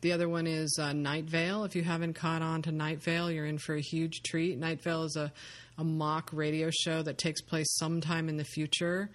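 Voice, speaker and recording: A woman's voice: sits low, level tone, a little fast. Chatty woman podcast host, full of fillers. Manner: enthusiastic, rambling with many fillers. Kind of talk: casual podcast chat.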